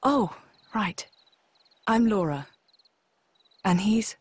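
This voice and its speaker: A gentle young female warrior, unhurried. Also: mid-range voice, lively intonation, husky, no room sound, exaggerated voice. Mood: warm, reassuring. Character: kindly young woman warrior, gentle and reassuring. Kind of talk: video game cutscene acting.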